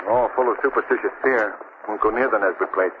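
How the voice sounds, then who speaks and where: A man playing a rational, reassuring gentleman investigator in a 1940s-style radio drama. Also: lowish voice, fast, natural voice. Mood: firm, reassuring. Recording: tinny, noisy old radio recording.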